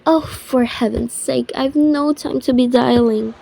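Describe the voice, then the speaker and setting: A woman's voice: high-pitched, steady tone, measured pace, vocal fry, breathy edge, exaggerated voice, poor audio. A woman acting a demanding, terrified lady in an old-style radio thriller, imperious and breathlessly pleading. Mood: impatient, demanding. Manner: imperious, breathless pleading, hushed when afraid. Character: frantic, demanding, terrified wealthy housebound woman.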